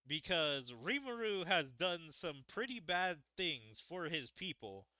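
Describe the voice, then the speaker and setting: A man's voice: a little high, big pitch swings, takes it slowly, sharp tone, dry recording. A man streaming a story game, reading its dialogue aloud theatrically and musing on it in asides.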